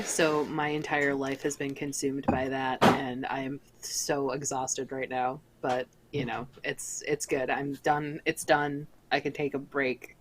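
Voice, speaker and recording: An American woman chatting casually about everyday life in a group conversation. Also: sits low. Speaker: software company worker. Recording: noisy online call mic.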